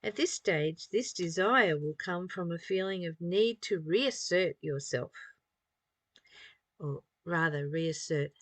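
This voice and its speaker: A woman reading a spiritual study text aloud and commenting on it informally, in measured phrases with pauses. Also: lowish voice, animated, a bit bright, no room sound.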